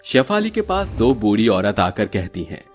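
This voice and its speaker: A man's voice: on the low side, sing-song, moderate pace, soft background music. A man narrating a scripted cartoon story in a storyteller's role.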